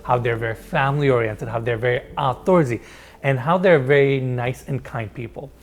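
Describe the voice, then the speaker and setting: A man from Turkey with a Turkish accent guides viewers through a large house. His pitch sits in the middle, and his delivery is eager and showcasing. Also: normal speed. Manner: enthusiastic, showcasing. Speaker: host. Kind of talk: home tour presentation.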